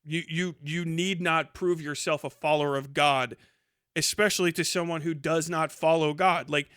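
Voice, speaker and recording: Guy on a livestream ranting casually, kinda high voice, sweary and rambly, really dry close mic sound. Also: fairly even intonation, moderate pace, fairly bright tone, clear, resonant tone, no room sound. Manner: profane, colloquial, rambling. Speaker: livestream host.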